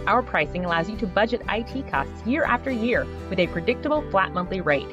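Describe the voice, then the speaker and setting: A woman reading a scripted radio commercial as a smooth corporate pitch. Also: expressive, fairly quick, muffled recording, over music. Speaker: ad announcer.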